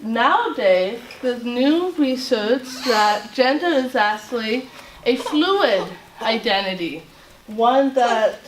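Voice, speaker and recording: A woman from Latvia giving an informal talk to a group as a self-advocate, speaking haltingly with many fillers and restarts. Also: a little high, animated, background noise. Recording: roomy echo, voice far from mic, faint ambience.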